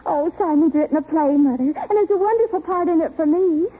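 A woman's voice: extremely high pitch, fairly quick. An actress playing a stagestruck, earnest American teenage daughter in a 1940s radio sitcom, girlish and easily flustered. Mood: earnest, pleading. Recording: tinny, faintly noisy old radio recording.

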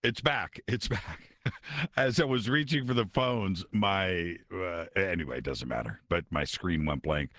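Radio host guy, lower voice but crisp and bright, chatty, steering to callers. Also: measured pace, dry recording, clean studio sound. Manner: chatty, upbeat, steering to calls. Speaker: radio talk show host. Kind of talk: late-night radio hosting.

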